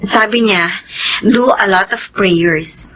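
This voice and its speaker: A woman's voice: mid-range voice, takes it slowly, a bit bright, a little hiss or hum, low-quality recording. A woman playing an earnest, repentant young working mother and respectful daughter in a Filipino radio drama.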